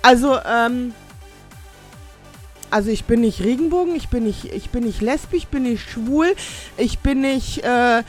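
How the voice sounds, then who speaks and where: A middle-aged woman hosting a casual radio show, rambling and self-mocking, reading listener messages in halting broken English. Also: a little high, faint music behind.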